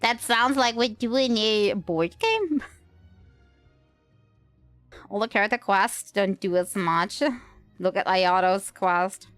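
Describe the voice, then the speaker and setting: A woman's voice: medium pitch, slow pace, long pauses, fairly bright tone, dry recording. A woman livestreamer giving casual, wry commentary on a video game, thinking aloud, with game music behind her.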